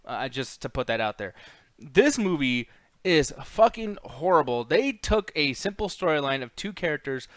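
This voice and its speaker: A man's voice: fairly high pitch, sing-song, moderate pace, fairly bright tone, no echo. A man hosting a casual, opinionated movie chat, ranting bluntly with lots of swearing and mocking quotes. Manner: mimics characters in mocking quotes. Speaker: podcast host.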